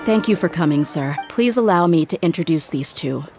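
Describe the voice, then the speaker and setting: A woman's voice: low voice, animated, a little fast, darkish tone, soft background music. A young woman playing a loyal, frank mercenary bodyguard in a video game. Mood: respectful. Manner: frank, casual, respectful.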